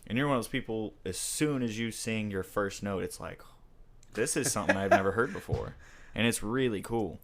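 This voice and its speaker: Low-voiced guy hosting a laid-back chat, says dude a lot, excited and friendly. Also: brisk, noisy background. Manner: friendly, chatty, enthusiastic, uses slang like dude and man. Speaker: interview host and musician. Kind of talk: casual interview chat.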